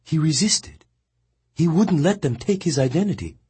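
Audiobook: male narrator as tough older woman, husky, pitched up, firm but mothering. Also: lively intonation, moderate pace, warm tone. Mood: soothing. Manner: commanding then soothing. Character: fierce older woman, commanding yet mothering.

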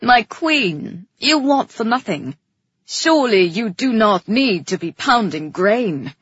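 A woman voicing a commanding, grandiose king in an audiobook, speaking loudly like an orator. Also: on the high side, very animated, slow, breathy edge, no echo, dull audio, exaggerated voice. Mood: grand, questioning. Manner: loud, oratorical, imperious. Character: commanding, grandiose king making stately proclamations. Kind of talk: audiobook character acting.

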